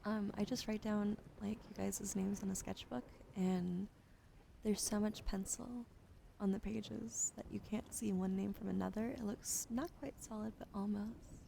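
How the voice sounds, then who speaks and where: A woman streaming ASMR, whispering softly to her chat and slowly spelling out follower names, now and then swearing. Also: medium pitch, steady tone, gravelly, slight background noise. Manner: slow and gentle, swears and laughs in between. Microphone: studio mic.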